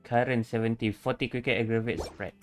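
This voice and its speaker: A man's voice: mid-range voice, steady tone, brisk. A man giving casual live gameplay commentary as a streamer, thinking aloud in short bursts. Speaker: VTuber streamer playing a game. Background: game music.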